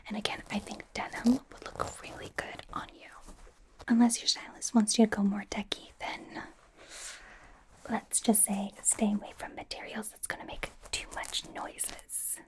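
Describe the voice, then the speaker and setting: A woman playing a bubbly young female K-pop idol as a doting stylist, whispering slowly and reassuringly in an ASMR roleplay. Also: medium pitch, flat delivery, close to an everyday voice. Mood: encouraging, attentive. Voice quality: breathy whisper. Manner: gushing, reassuring, chatty with trailing repeats. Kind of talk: ASMR roleplay, personal attention.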